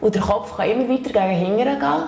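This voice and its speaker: A woman's voice: a little high, fast, creaky, a little mellow, soft background music. A woman with a Swiss accent who patiently talks a learner through stretching and back exercises. She often tags her sentences with a questioning "oder?". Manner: patient, encouraging, ends phrases with "oder?". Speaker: fitness instructor. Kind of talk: guiding exercises step by step.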